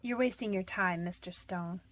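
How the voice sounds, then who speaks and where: A woman's voice: mid-range voice, normal speed, fairly bright tone, close to an everyday voice. An actress playing a frightened but defiant young convicted woman in a radio detective drama, defensive, pleading and slangy. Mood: bitter.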